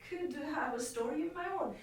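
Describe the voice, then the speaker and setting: A woman's voice: sits high, brisk. A middle-aged woman with an Indian accent, talking conversationally as an interview guest and repeating phrases for emphasis. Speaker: interview guest, actress and filmmaker. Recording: echoey room, distant mic, faint noise.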